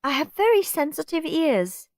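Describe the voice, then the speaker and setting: A woman's voice: sits high, very animated, darkish tone, dry recording, studio quality, pitched above the performer's own voice. A woman reading aloud, voicing a bossy, demanding little girl who whines and drags out her complaints. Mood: whiny. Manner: whiny, drawn-out complaining.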